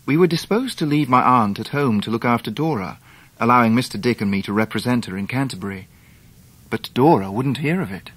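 An actor playing a composed English gentleman narrating his life in a radio drama. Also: medium pitch, animated, brisk, breathy edge. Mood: reflective.

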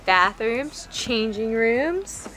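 A woman's voice: on the high side, animated, very slow, a bit bright, faint music behind. A young woman chatting casually as a travel vlog co-host, with a playful, dryly teasing manner. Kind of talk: casual chat.

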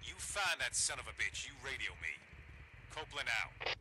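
An actor playing a brusque survivor camp leader making a radio call in a video game, clipped and forceful. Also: male voice, medium pitch, sing-song, normal speed, very noisy, natural voice. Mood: grim, urgent.

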